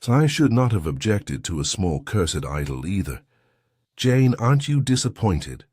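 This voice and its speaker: A man's voice: on the low side, big pitch swings, measured pace, darkish tone, no room sound, clean studio sound. Lady of the manor, read by a man: spirited, grandly playful, theatrical audiobook delivery with swooping intonation. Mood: mock disappointment.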